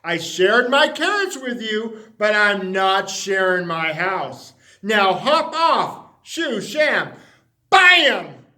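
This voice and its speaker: A man voicing a grumpy older man fed up with bunnies, huffing and shouting in exasperation. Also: high voice, sing-song, very slow, exaggerated voice. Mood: annoyed.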